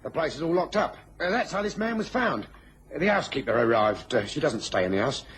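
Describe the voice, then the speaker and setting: An actor with an English accent playing a chatty police constable in a radio drama, deferential, colloquial and hesitant. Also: male voice, fairly high pitch, animated, a little fast, a bit bright, breathy edge, exaggerated voice. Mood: deferential, helpful. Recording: noisy old radio recording.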